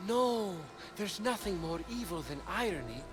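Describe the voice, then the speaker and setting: High-voiced, swooping trickster god. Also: male voice, big pitch swings, a little slow. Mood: wry. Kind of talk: comic video game cutscene acting. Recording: loud game music underneath.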